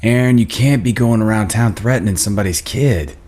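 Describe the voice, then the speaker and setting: A man acting a soft-hearted, concerned small-town cop in a naturalistic screenplay read, earnest and familiar. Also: lowish voice, a little fast, close to an everyday voice. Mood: firm, cajoling. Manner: earnest, gently cajoling, plain-spoken.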